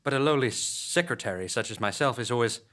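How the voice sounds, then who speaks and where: A man playing a lowly, devoted secretary, hesitant and gently flirtatious, in a comic audio drama. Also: animated, fast talker, natural voice. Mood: wistful, deferential. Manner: hesitant, coy, fawning.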